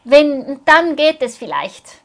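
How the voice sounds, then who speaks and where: High-voiced woman interpreting a sermon. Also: big pitch swings, slow, creaky. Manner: earnest, follows the preacher closely.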